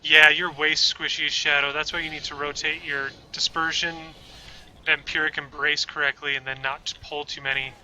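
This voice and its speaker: Bright-voiced male gamer chatting on stream. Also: fairly high pitch, little pitch movement, normal speed, a little hiss or hum, low-quality recording. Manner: chatty, rambling, talks to chat while playing. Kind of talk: casual gameplay livestream chat. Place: home room. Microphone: desk microphone.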